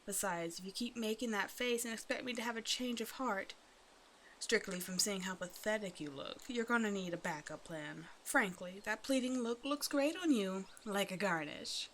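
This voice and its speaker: A woman performs a predatory female naga, half snake, in an ASMR audio roleplay. Her medium-pitched voice toys with the listener, smug and condescending under a sugary, teasing surface. Noticeable ambient noise sits behind her. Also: normal speed, a bit bright, lightly acted. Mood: playfully threatening. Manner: teasing, condescending, mock-sweet pet names.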